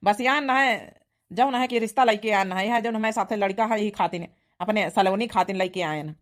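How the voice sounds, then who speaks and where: A woman's voice: mid-range voice, brisk, lightly acted. A woman voicing a practical village uncle playing matchmaker in a cartoon comedy, plain-spoken and reassuring, with an Awadhi accent. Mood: businesslike. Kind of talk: cartoon comedy dialect acting.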